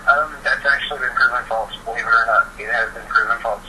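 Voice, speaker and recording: Young man, casual opinionated explainer, middling pitch, heavy background noise. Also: steady tone, quick pace. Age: teens or young adult. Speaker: participant in a phone chat. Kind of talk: casual chat. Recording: noisy, distant phone-call recording.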